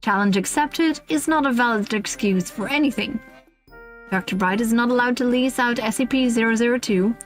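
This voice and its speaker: A woman's voice: fairly high pitch, normal speed, faint music behind. A woman streamer reading a comic list aloud on a livestream, giggly and often breaking off into casual asides.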